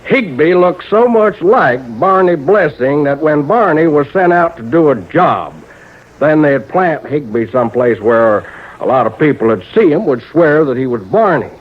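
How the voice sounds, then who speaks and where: Through a thin, narrow vintage radio recording comes a crafty old country insurance agent. He has a rural American accent and tells things in a folksy, halting, drawn-out way, nervous underneath, in old-time radio drama style. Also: on the high side, expressive. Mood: explaining. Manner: folksy, hesitant, drawn-out storytelling.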